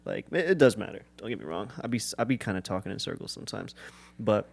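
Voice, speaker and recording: A man's voice: fairly low pitch, sing-song, fast, gravelly, slightly rough. A young man co-hosting a podcast, talking in an earnest, rambling, slangy way. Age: young adult. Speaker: podcast co-host. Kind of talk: casual chat.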